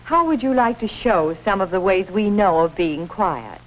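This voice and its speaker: Female teacher, 1950s educational film: medium pitch, expressive rise and fall, instructive, muffled recording. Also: moderate pace. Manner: instructive, prompting pupils with questions. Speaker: schoolteacher.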